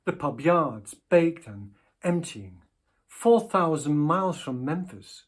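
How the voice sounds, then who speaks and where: Man, poetry recitation, fairly high pitch, very slow pace, measured phrasing. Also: big pitch swings. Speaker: poetry reader.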